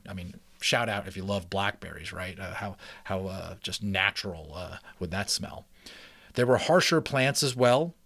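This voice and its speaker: Male storybook narrator, lowish pitch, expressive and deliberate, recorded dry and close. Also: dry recording. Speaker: audiobook narrator. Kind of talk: dramatic children's book reading with chatty asides.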